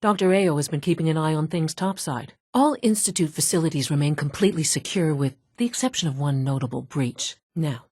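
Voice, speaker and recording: A woman's voice: deep voice, brisk, few pauses, a little mellow, breathy edge, dry recording. A woman playing a brusque, dry-witted scientist from an underground institute in a video game, businesslike and wry. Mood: formal, explanatory. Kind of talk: video game character dialogue.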